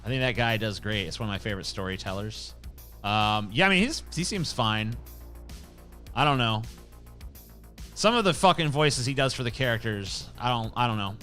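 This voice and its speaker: A man's voice: medium pitch, lively intonation, moderate pace, pushed and bright, soft background music. A man hosting a livestream, reading chat comments aloud in a rambling, profane, casual way. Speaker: livestream host.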